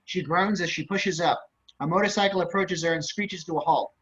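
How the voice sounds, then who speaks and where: Bright, high-voiced male script narrator. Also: brisk, breathy edge. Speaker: stage directions reader. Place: indoors, on a video call. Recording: dull video-call audio.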